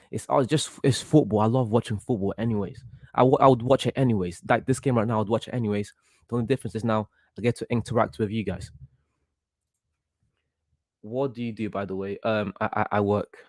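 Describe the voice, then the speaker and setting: A young man from Portugal, about eighteen, hosting a casual football watchalong stream in an excitable, reactive way and often repeating himself. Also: fairly low pitch, fairly quick, dry recording, clean studio sound. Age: young adult, about 18. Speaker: livestream host.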